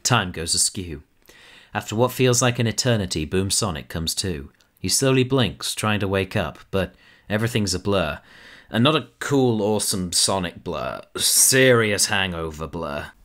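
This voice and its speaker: A man narrates a fan audio drama with a very low voice. He tells the story in steady scripted prose. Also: moderate pace.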